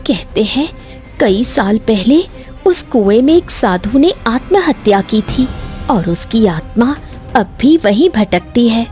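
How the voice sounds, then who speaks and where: Woman as a worried, slow-speaking village woman. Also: a little high, sing-song, mellow, soft background music. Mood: worried, warning. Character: worried village woman passing on ghost warnings.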